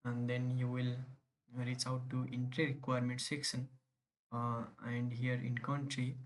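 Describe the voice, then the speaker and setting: A man's voice: medium pitch, monotone, a little slow. A young man from Nepal, a native Nepali speaker, talks in English with a Nepali accent, walking through an online application step by step with many fillers and restarts. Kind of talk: screen-recorded tutorial.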